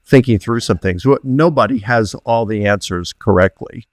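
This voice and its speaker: A man's voice: fairly low pitch, normal speed, noisy background. Man hosting a casual interview. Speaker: host, university professor.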